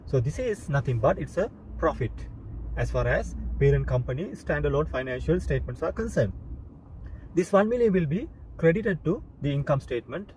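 A man in his forties explaining like an accounting tutor, in a halting, repetitive, step-by-step way. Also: animated, a little mellow. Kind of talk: informal explanatory lesson. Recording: noisy car interior with road rumble.

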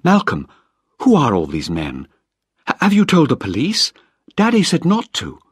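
A man voicing a distressed young woman in an audiobook, high and pleading, frightened yet defiant. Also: wildly swooping pitch, unhurried, slightly rough, no echo, clean studio sound, full character voice. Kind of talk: audiobook character voice.

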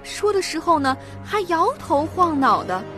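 Naive cheerful young girl in a radio play, high voice, chatty, some music behind. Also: big pitch swings, a little slow, exaggerated voice. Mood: chatty, excited. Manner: chatty, innocent storytelling. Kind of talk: radio drama acting.

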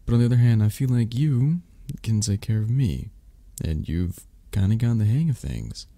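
Man acting low, gravelly, drowsy mafia boss lover. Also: lively intonation, warm tone, dry recording. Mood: tender, teasing. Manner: slow, hesitant, murmured endearments with pauses. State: tired, drowsy. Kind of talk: intimate romantic ASMR roleplay. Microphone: close microphone.

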